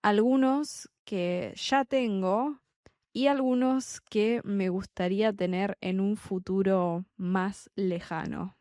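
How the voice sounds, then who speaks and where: Slow, deliberate Buenos Aires woman. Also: mid-range voice, steady tone, darkish tone, dry recording, studio quality. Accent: Buenos Aires Spanish. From Argentina. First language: Spanish. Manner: slow, deliberate, clearly spaced phrasing. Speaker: Spanish tutor. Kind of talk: slow podcast monologue for learners.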